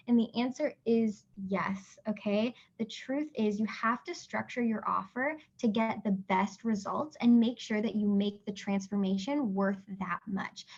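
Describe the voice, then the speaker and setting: A woman from Canada leads a live online class, talking in a chatty, encouraging way with frequent check-ins. Her voice sits at a middle pitch and is a little hoarse. The call audio is dull and lo-fi. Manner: chatty and encouraging, many fillers and "right?" checks. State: losing her voice. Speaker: webinar host and business coach. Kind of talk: live webinar teaching.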